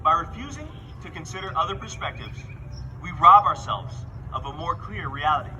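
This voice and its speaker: A man giving an earnest, measured prepared speech at a rally outdoors. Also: on the high side, animated, pushed and bright, distant mic, a little hiss or hum, poor audio. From Worthington. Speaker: speaker at a justice rally.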